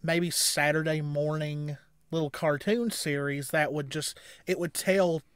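A man in his thirties giving casual, rambling reaction commentary as a co-host, halting and repeating words as he explains. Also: fairly high pitch, steady tone, smooth, no room sound, studio quality. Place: small indoor room at home.

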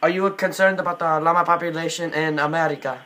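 A man's voice: a little high, fairly even intonation, pushed and bright. A slightly noisy bedroom recording of a young man putting on an earnest Indian man making a prank call. He is extremely polite and apologetic. He halts and fills his sentences with ums. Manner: halting, apologetic, many ums. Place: small bedroom. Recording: noisy amateur phone-video recording.

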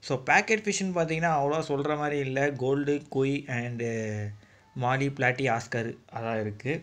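A man's voice: medium pitch, brisk. A man giving casual, enthusiastic vlog commentary as a channel host, often addressing his viewers directly while filming by hand. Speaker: vlogger, aquarium channel host.

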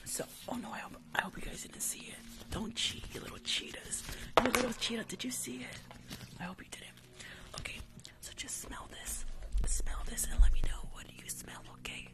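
A young woman in a playful, chatty ASMR medical roleplay, speaking in a breathy whisper close to the microphone and repeating words as she goes. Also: fairly high pitch, moderate pace. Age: young adult. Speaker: ASMR performer playing a doctor. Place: small room at home. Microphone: large desk microphone, close.

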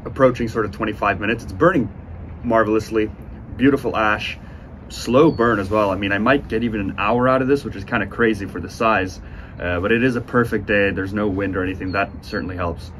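A man in his twenties giving a casual, unhurried review to camera, speaking with enthusiastic praise. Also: lowish voice, fairly bright tone. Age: 20s. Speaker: cigar reviewer, host. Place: outdoors on a sunny porch by windows. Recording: outdoor ambient noise.

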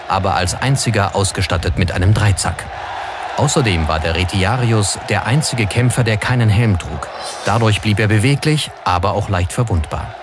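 A man's voice: low-pitched, a little hiss or hum. A man reading scripted narration as the narrator of a documentary. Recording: music under the voice. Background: background music.

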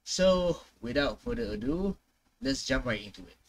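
A man's voice: medium pitch, animated, moderate pace, noisy background. A young adult man giving casual reaction commentary as a gaming host, recorded indoors on a close mic. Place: small indoor room.

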